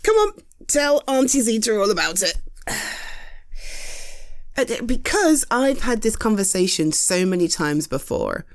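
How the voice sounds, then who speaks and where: British woman, unhurried, animated pitch; frank, halting, comforting friend, close-mic roleplay. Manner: halting and conversational, stumbling restarts, frank and reassuring. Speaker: audio roleplay performer. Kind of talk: scripted POV roleplay, reassuring friend.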